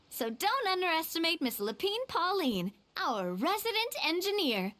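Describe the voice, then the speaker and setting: A woman's voice: high voice, a bit bright. A woman playing a poised, friendly young female president in a video game, breezy and confident as she explains. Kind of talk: video game character acting.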